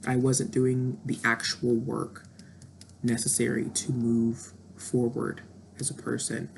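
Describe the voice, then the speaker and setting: A woman's voice: very deep voice, monotone, slow pace, gravelly, a little hiss or hum. A woman in her thirties talking candidly and introspectively in a reflective personal monologue, with frequent fillers. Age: 30s. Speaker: vlogger.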